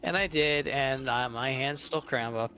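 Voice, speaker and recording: Bright-voiced young man, meandering. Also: mid-range voice, slight background noise. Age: 20s. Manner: halting, meandering, restarts often. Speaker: co-host. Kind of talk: casual chat.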